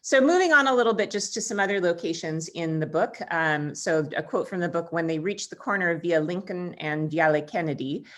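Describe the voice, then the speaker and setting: A woman with a notably low voice hosts a friendly book discussion. She talks casually and switches into reading short quotes aloud from the novel. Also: a little fast, few pauses. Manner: lively, reads passages aloud. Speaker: book club co-host.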